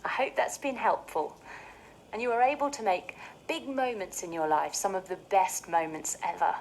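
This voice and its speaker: A woman leading children through a guided breathing exercise in a soothing, measured way. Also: medium pitch, a little hiss or hum, low-quality recording. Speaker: children's mindfulness instructor.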